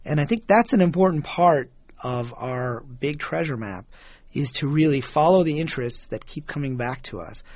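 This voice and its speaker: Thoughtful man with a higher voice. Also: lively intonation, moderate pace, darkish tone, dry recording. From Michigan, USA. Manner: thoughtful, chuckles often, repeats words when starting. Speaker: guest, author and engineer. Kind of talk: reflective conversational storytelling.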